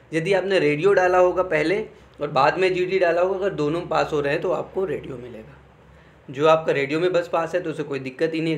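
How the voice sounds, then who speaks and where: A young man, explaining things informally to students in a conversational way, often checking in as he goes. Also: on the high side. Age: young adult. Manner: conversational, repeatedly checks in with "okay". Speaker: exam guidance YouTuber.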